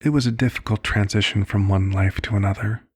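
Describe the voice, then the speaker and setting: A man playing a compassionate, fatherly vampire doctor in an ASMR roleplay, speaking in a measured, formal, hushed way with a low, husky, gravelly voice. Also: fairly even intonation. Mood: grave, tender. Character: compassionate old vampire doctor, fatherly, weary, gently persuasive.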